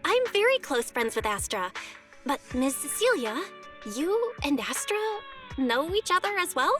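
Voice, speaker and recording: A woman's voice: sits high, very animated, a little slow, fairly bright tone, soft background music, exaggerated voice. An actress voicing a polite, earnest young woman in a video game, friendly and curious, a little hesitant.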